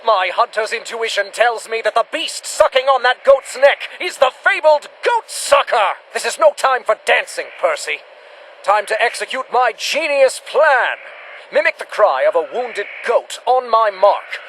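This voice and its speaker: An actor playing a bombastic, self-important but cowardly monster hunter in an old-fashioned radio adventure serial, proclaiming theatrically. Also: male voice, extremely high pitch, big pitch swings, a little slow, fairly bright tone, slight background noise, heavily acted. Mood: boastful, commanding. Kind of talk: 1940s-style radio adventure serial acting.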